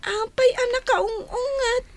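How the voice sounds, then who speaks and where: Playful, whiny small child, very high and slow-paced, for Ilocano radio drama. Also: female voice, background noise. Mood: pleading.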